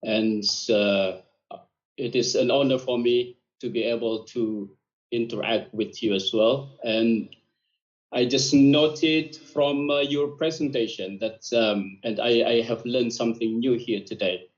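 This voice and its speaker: A man's voice: mid-range voice, measured pace. Polite hesitant man from Indonesia. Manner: polite, hesitant, many fillers. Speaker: audience member asking a question. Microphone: video call. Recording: muffled video call audio.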